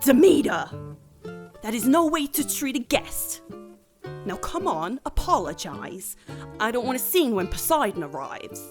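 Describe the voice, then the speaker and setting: A woman's voice: on the high side, big pitch swings, measured pace, soft background music. A woman playing a doting, boozy, middle-aged goddess of wine, gushing and playful in an audio drama. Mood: scolding.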